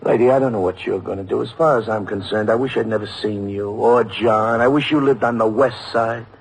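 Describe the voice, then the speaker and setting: A man's voice: fairly low pitch, fairly quick, close to an everyday voice. An actor playing a bitter, grumbling policeman and family man with an American accent in a vintage radio drama. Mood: exasperated. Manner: grumbling, sardonic. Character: bitter, weary policeman, a married man with kids. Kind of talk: 1950s radio drama acting. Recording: noisy vintage radio recording.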